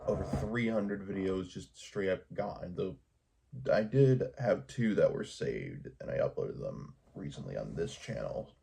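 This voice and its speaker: A man with a gravelly, husky voice talking casually and haltingly in a vlog monologue, as a YouTuber would. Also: deep voice, big pitch swings, dark tone, a little hiss or hum.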